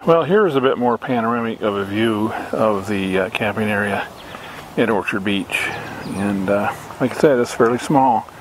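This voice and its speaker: A man from Michigan casually narrating a camping trip outdoors, relaxed and rambling with lots of uhs, recorded on a handheld camera. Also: lowish voice, normal speed, noisy background. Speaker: RV camping vlogger. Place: outdoors at a lakeside campground.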